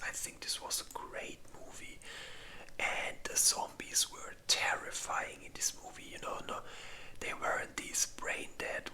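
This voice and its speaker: Whispering ASMR host, crisp and breathy. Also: pushed and bright. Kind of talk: whispered ASMR movie ranking, casual chat.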